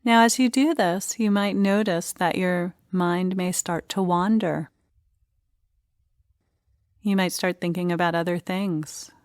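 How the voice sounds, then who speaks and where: A woman leading a guided meditation, speaking in short, gentle phrases with unhurried pauses. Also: on the low side, no room sound, clean studio sound.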